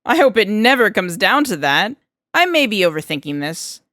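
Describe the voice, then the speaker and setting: A woman voicing an enthusiastic, talkative male research scientist in an audiobook, explaining things in an animated, chatty way. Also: medium pitch, moderate pace, no echo, studio quality. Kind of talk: fan fiction audiobook character reading.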